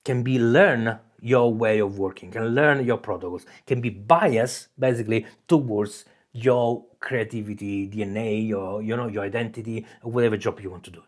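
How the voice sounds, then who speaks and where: A man's voice: on the low side, normal speed. A man from Italy with an Italian accent, hosting his own channel and talking through ideas in a loose monologue, restarting phrases and often checking in with "okay?". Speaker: channel host. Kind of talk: casual explanatory monologue.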